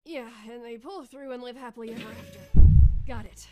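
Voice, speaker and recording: Woman as a young female soldier, wry, determined, fairly high voice, music behind, radio drama. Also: fairly quick, a little mellow, natural voice. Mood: sarcastic.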